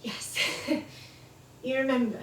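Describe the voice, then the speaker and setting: An actress with a Northern English accent playing a caring, worried adult daughter in a stage drama. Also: female voice, high-pitched, little pitch movement, slow, a little hiss or hum. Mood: careful, concerned. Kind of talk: theatrical stage acting. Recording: echoey theatre, distant mic.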